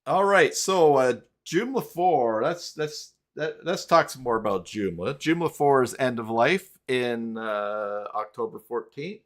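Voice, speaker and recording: An older retired man hosting a casual video-call interview, relaxed and reading out viewer questions. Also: mid-range voice, measured pace, few pauses. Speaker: host.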